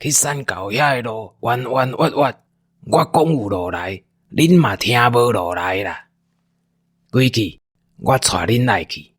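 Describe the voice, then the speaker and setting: A man's voice: medium pitch, expressive, a little slow, breathy edge, no echo, clean studio sound. A man voicing a weary, helpful old village man in a Taiwanese-language radio drama, talking in a folksy, resigned way.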